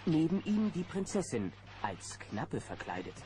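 A man's voice: a little high, very animated, a little slow. A man narrating a children's adventure audio play as an engaged storyteller. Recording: noisy old cassette recording.

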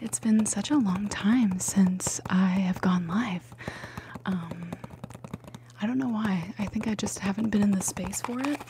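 A young woman whispering through an ASMR livestream, chatting casually and repeating words softly into the mic. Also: mid-range voice, measured pace, background noise. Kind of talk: whispered ASMR live chat. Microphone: ASMR microphone.

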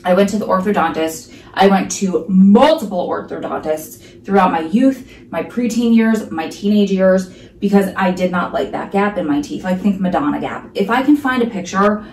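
A woman's voice: medium pitch, moderate pace, a little mellow, echoey room, far from the mic, low-quality recording. Chatty New Jersey woman vlogging at home. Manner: chatty, emphatic, many asides. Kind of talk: casual talk to camera. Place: living room at home, sunlit window. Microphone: camera across the room.

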